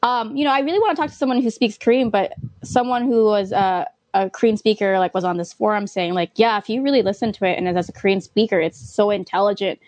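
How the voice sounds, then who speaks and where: A woman's voice: medium pitch, fast, hardly any pauses, dry recording. Young female podcast host, eager chatty fan, dry close mic. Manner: excited fan talk with many "like" fillers. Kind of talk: casual podcast chat.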